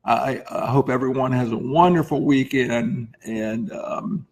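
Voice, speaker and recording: A man with a hoarse, laryngitic voice full of gravelly creak speaks at a slightly varying, medium pitch. He explains things informally to viewers in the manner of a lawyer giving advice. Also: measured pace. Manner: frequent throat clearing. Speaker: lawyer hosting a firm's advice segment. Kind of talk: informal explanatory talk to camera.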